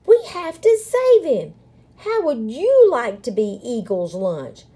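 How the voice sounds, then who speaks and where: Storybook role: small plucky prairie dog, played by a woman, very high, swooping, urgent and bossy. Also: wildly swooping pitch, takes it slowly, exaggerated voice. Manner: urgent, moaning and yelling.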